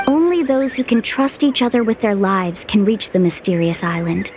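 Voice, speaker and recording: A woman's voice: medium pitch, expressive, moderate pace, a little mellow, a little hiss or hum, lightly acted. A woman voicing an upbeat, confident young woman adventurer in a video game, encouraging, casual and assured. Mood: reassuring, trusting. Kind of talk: animated video game voice acting.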